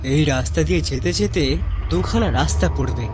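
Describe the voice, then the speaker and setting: A man's voice: on the high side, moderate pace, music in the background, natural voice. A man playing an older rustic tea-stall keeper in a horror cartoon, cautioning in a folksy way. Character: rustic older tea-stall uncle, cautious, well-meaning, warning travellers. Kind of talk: animated story acting.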